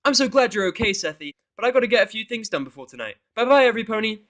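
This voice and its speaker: A bubbly female cartoon pony performed by a man for a story. She exclaims perkily in a loud, sharply raised voice. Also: high voice, sing-song, racing, voice pushed up. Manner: exclaiming, perky.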